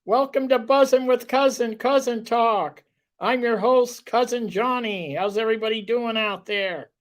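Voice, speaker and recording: A man's voice: very high-pitched, unhurried. A man hosting a local talk show, chatting casually with a guest and rambling with lots of fillers.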